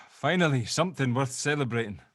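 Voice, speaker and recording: An actor playing a gruff, clumsy ex-convict father who is keen to please, in a drama table read. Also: mid-range voice, lively intonation, moderate pace, fairly bright tone. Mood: hearty, celebrating.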